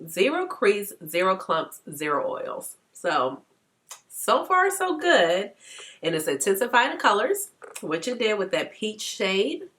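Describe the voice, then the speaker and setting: A woman's voice: medium pitch, big pitch swings, slow, a bit bright. A congested woman with a stuffy nose, chatting casually through a makeup tutorial and laughing often. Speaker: beauty vlogger.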